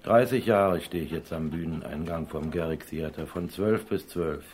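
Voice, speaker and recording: A man's voice: very deep voice, moderate pace, darkish tone, slight background noise. An actor playing a stolid, proud older theatre doorman in a German crime radio play, with a hesitant, folksy, emphatic delivery. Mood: proud, plodding.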